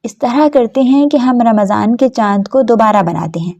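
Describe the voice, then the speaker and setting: A woman's voice: on the high side, lively intonation, warm tone, highs cut off. A woman voicing a determined, inventive young boy, eagerly laying out plans in a children's story. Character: determined, inventive schoolboy friend making plans.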